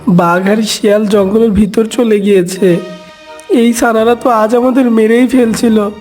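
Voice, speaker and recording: A woman's voice: fairly high pitch, fairly even intonation, a little slow, darkish tone, faint music behind, natural voice. A woman voicing an anxious, caring mother duck in a cartoon story, with a fretful, motherly manner. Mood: relieved.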